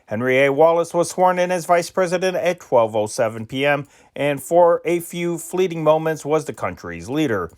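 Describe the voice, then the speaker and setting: A man's voice: a little high, animated, normal speed, few pauses, clear, resonant tone. A man reading old newspaper news dispatches aloud in an amateur style, with occasional slips and restarts. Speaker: reader of historic news dispatches.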